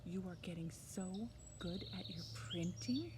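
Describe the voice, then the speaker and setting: A woman's voice: lowish voice, animated, a little slow, background noise. A woman presenting a children's storytime lesson, encouraging young listeners with simple step-by-step instructions.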